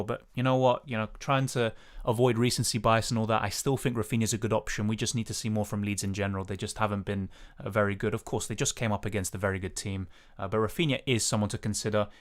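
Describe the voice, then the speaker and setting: Raspy, lowish young man. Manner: casual, hedging, many fillers. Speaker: fantasy football streamer. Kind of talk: live stream Q&A, reading chat.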